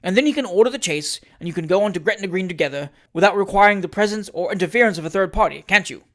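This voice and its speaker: A narrator voicing a pompous, pettish middle-aged male mayor in a loud, exclamatory storybook manner. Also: medium pitch, fast talker, natural voice. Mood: brisk, testy.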